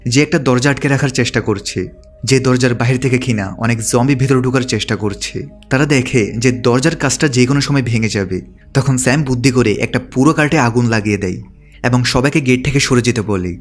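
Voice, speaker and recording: A man narrates from a script at a brisk pace. His voice sits at an average pitch and keeps a steady storytelling flow. Music plays behind him. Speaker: movie recap narrator. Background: background music.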